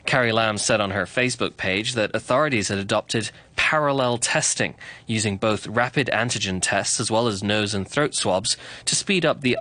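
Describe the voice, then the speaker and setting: A man filing a scripted radio news report in crisp, steady tones. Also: on the low side, dry recording.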